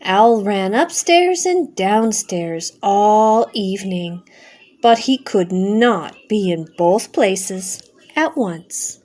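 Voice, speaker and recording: A middle-aged or older woman, a grandmother, narrating a children's storybook aloud with simple, expressive phrasing. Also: medium pitch, drawn-out words. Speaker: grandmother reading a bedtime story.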